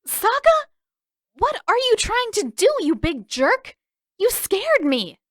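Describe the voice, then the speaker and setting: A woman voicing a feisty, defensive teenage girl in an audiobook, huffy, indignant and quick to snap. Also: very high-pitched, sing-song, no echo, clean studio sound, pitched above the performer's own voice, exaggerated voice. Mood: startled anger.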